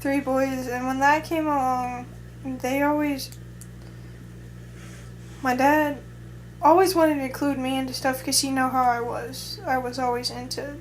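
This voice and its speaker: A woman's voice: sits high, level tone, a little slow, slight background noise. A young adult woman vlogger in casual chat, talking in a hesitant, rambling way with many restarts.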